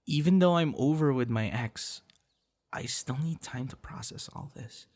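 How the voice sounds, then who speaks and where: A young man playing a tender, hesitant suitor in an intimate ASMR boyfriend roleplay, speaking softly and haltingly. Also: medium pitch. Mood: hesitant, apologetic. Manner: soft, hesitant, with sighs and chuckles. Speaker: audio roleplay voice actor.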